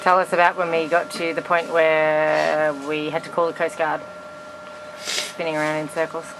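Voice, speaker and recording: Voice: little pitch movement, moderate pace. A person recording a casual, informal video diary and putting questions to crewmates inside a small boat. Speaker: crew member filming and interviewing crewmates. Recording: noisy boat cabin with engine rumble.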